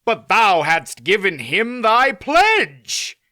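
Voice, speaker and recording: A man voicing a chivalrous, formal-spoken knight, a little raised and bright. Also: sits high, wildly swooping pitch, slow, no echo, studio quality, voice pushed up, full character voice. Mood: earnest. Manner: old-fashioned formal speech. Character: boastful chivalrous male knight, formal, archaic.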